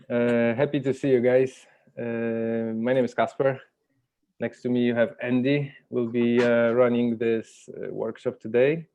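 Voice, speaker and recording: A man leading an informal online workshop over a video call, speaking haltingly and encouragingly. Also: mid-range voice, vocal fry, dark tone, lo-fi sound. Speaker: workshop host. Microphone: video call microphone. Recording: video call with background music.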